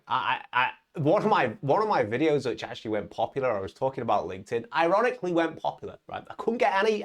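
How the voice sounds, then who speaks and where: A young man talks straight to his viewers in a loose, motivational monologue. His pitch jumps up and down as he stresses points, and he keeps checking in with quick "right?" tags and tripping restarts. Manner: emphatic, lively pitch swings, frequent "right?" tags and stumbling restarts. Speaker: vlogger on online business.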